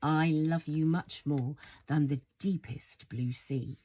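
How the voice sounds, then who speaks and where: A woman reads a children's bedtime story aloud in a soft, husky voice, affectionate and playful, full of wonder. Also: sits low, steady tone, slow. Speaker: storybook reader.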